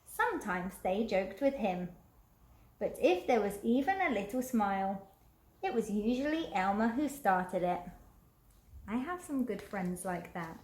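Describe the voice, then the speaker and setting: Slow-paced woman reading in an echoey room. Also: medium pitch, sing-song. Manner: slow, deliberate, asks the listener questions. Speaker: children's storybook reader. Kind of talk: expressive picture-book read-aloud with asides to young listeners.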